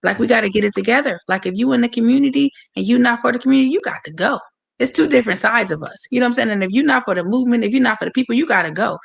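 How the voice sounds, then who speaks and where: A woman from San Francisco with a hoarse voice, a boxer speaking candidly and passionately as a guest on a livestreamed interview over a video call. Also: a little high, animated, racing. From San Francisco, USA. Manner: candid, passionate, talks straight to viewers.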